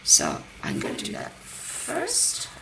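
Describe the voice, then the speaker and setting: A woman hosting a card-making demonstration, chatting through each step with a croaky voice. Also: takes it slowly. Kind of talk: casual craft demonstration. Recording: roomy, mic at a distance, faint background noise. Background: birds outside.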